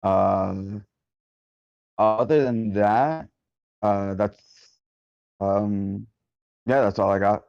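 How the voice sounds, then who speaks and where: Man, low voice, monotone, halting casual critic, muffled online call. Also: creaky, clear, resonant tone. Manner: hesitant, halting. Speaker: table-read participant giving script feedback. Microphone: online meeting call. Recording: dull lo-fi online meeting audio.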